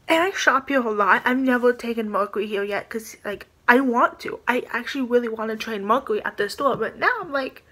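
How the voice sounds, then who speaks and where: A woman's voice: on the high side, pushed and bright, breathy edge. A young woman vlogging from her small bedroom into a built-in camera mic, indignant and rambling with lots of "like" as she talks. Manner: indignant, rambling, many filler "like"s, gestures a lot. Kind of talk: casual vlog rant to camera.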